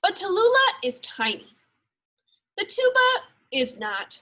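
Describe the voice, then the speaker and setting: A woman teacher reading a storybook aloud for young children, expressively and in measured phrases. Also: very high-pitched, big pitch swings, sharp tone. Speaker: second grade teacher.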